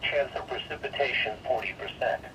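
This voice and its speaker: A man's voice: mid-range voice, brisk. A male weather radio announcer with a synthesized, formal and impersonal delivery, reading a scripted forecast over the radio. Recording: noisy, distant-sounding weather radio broadcast.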